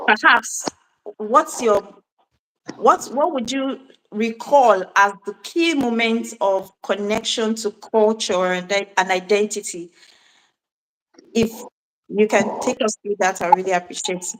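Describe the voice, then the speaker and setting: A woman hosting an interview, speaking haltingly with many fillers and restarts. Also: medium pitch, rough recording. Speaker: host. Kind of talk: interview introduction and questions.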